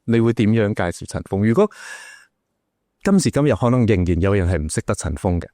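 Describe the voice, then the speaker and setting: A man's voice: fairly low pitch, animated, fast, mellow, no echo, studio quality. A man with a Malaysian accent hosting a casual, chatty interview. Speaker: podcast host, interviewer.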